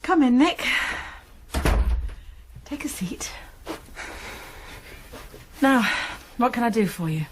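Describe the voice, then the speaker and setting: An actress playing a calm, kindly but firm British college confidante in a radio drama. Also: female voice, on the high side, slow, pauses often, noisy background. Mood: firm, concerned.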